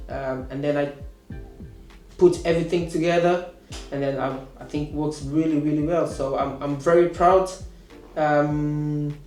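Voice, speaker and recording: A man in his thirties, a course student, answering interview questions with many pauses and restarts. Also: a little high, warm tone, reverberant. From Portugal. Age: 30s. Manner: hesitant, many fillers and restarts.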